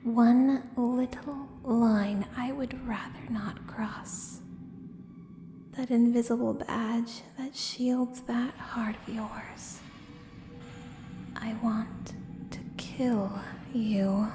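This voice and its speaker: A woman playing a menacing, coolly seductive contract killer in an ASMR audio roleplay, speaking in a hushed, deliberate, breathy voice with pointed pauses. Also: a little high. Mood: cool threatening. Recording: roomy long reverb, clear background noise.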